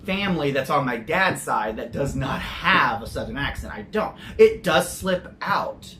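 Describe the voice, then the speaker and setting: A man's voice: fairly high pitch, animated, measured pace, sharp tone. Chatty, rambling man reviewing soda. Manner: chatty, rambling, mild swearing. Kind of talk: casual product review.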